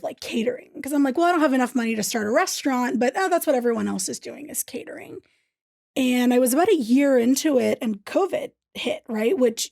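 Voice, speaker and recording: Earnest woman, gravelly edge. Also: on the high side, darkish tone, no room sound, studio quality. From Thailand. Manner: earnest, says "right?" often. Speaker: interview guest, business owner. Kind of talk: casual interview talk.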